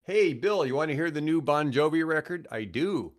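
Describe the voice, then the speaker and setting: A man's voice: on the high side, animated, normal speed. A man in his sixties telling casual anecdotes in a jokey, self-mocking way, slipping into acted-out bits of dialogue. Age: 60s. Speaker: podcast guest, retired radio host.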